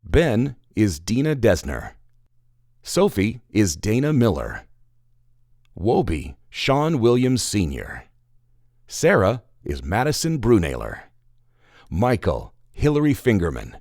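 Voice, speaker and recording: A man's voice: lowish voice, huge pitch swings, takes it slowly, pauses often, a little mellow, no echo, studio quality. A man narrating scripted stories and credits for children as a show's narrator.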